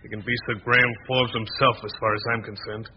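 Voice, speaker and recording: A man's voice: fairly low pitch, little pitch movement, quick pace, natural voice. Man acting cocky thief, muffled old radio. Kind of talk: 1940s radio crime drama acting. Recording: dull, noisy vintage radio recording.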